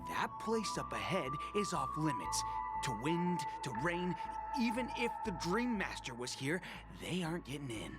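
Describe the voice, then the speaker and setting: An actor playing a stubborn, exasperated guard in a video game, officious and theatrically self-important. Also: male voice, on the high side, big pitch swings, normal speed, loud music behind the voice. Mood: angry. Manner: melodramatic, officious, swinging from formal to pleading.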